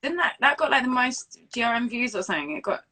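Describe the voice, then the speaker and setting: A woman's voice: on the high side, expressive, quick pace, sharp tone. A woman interviewing someone in an informal, slangy, chatty way. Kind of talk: casual interview chat.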